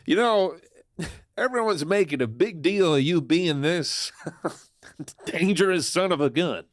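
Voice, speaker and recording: Man playing a high-voiced, swaggering Western outlaw. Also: lively intonation, a little slow, dry recording, studio quality. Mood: persuasive. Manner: theatrical, teasing, flirtatious swagger. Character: cocky, sly male Western outlaw, smooth-talking schemer. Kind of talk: Western audio drama acting.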